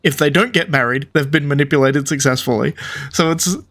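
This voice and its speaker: A man's voice: on the high side, brisk, fairly bright tone. A man giving casual gameplay commentary, thinking aloud in a rambling way. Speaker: gaming streamer.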